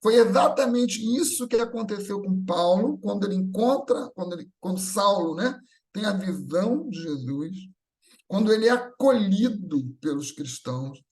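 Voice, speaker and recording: A Brazilian man speaks in a notably high voice, teaching at a spiritual gathering. He explains in a didactic, semi-improvised way, repeating phrases for emphasis and checking in with short tag questions. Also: lively intonation, moderate pace, a little mellow. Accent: Brazilian Portuguese.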